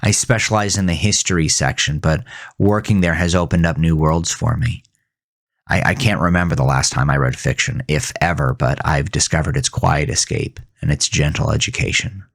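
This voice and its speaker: Very deep, gravelly haunted farmer played by a man. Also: normal speed, heavily acted. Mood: wistful, calmer. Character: haunted, bitter farmer confessing a horror tale, man. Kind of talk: scripted first-person horror narration.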